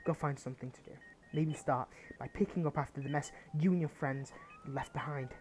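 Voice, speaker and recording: A man's voice: fairly high pitch, steady tone, brisk, darkish tone, lightly acted. Man acting a bitter, defiant superpowered fighter, noisy recording. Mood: bitter, dismissive. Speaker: audio roleplay voice actor. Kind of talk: dramatic ASMR audio roleplay acting.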